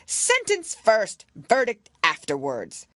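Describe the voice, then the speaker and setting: Woman acting out a shrill, furious storybook queen. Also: wildly swooping pitch, slow pace, no room sound, heavily acted. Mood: furious, imperious. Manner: shouting, imperious commands.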